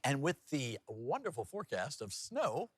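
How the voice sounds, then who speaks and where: A man's voice: medium pitch, big pitch swings, moderate pace, breathy edge, no echo. A man anchoring a TV newscast in a jokey, folksy, conversational way, moving between banter and scripted reads. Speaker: TV news anchor and feature reporter.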